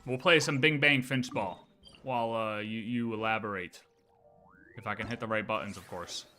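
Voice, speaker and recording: Bright, forceful man livestreaming casually. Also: lowish voice, moderate pace, faint music behind. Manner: emphatic, slangy, repeats phrases. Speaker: game livestreamer.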